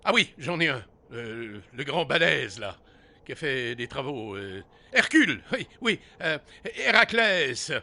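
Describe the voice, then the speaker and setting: Pompous bumbling king of the gods, man doing the voice, bright cutting tone, voice jumps around a lot, comic audio play. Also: medium pitch, sing-song, measured pace, vocal fry, full character voice. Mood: flustered. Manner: blustering, flustered when cornered.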